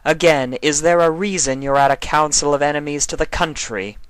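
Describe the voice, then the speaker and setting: Man voicing a stern, haughty dark mare. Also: on the high side, a little fast, clear, resonant tone, dry recording, voice pushed up, lightly acted. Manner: dry, sardonic, imperious. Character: stern, haughty female dark alicorn villain. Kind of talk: fan fiction character reading.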